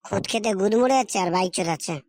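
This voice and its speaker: Voice actor as rapid-fire grumpy cartoon father. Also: mid-range voice, a little mellow, close to an everyday voice. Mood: scolding. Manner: gruff, scolding, sarcastic. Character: grumpy, foul-mouthed middle-aged father, scolding and miserly. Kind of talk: comedy cartoon voice acting.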